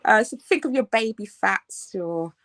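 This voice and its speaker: A woman's voice: medium pitch, animated, a little slow, fairly bright tone, dry recording, studio quality. A woman in her thirties with a Birmingham accent, a fashion designer chatting as an interview guest, laughing often and filling her talk with ums and restarts. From England. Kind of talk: casual interview.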